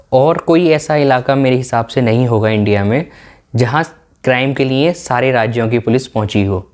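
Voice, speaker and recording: A man's voice: medium pitch, a little mellow. A man narrating in an explanatory, documentary style.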